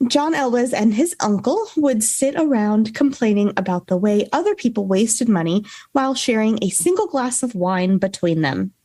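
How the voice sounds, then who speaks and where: A woman's voice: fairly high pitch, expressive, normal speed, few pauses, warm tone, dry recording. A woman co-hosting a podcast, telling a researched story in a casual way. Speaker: podcast co-host. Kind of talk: storytelling from notes with casual banter.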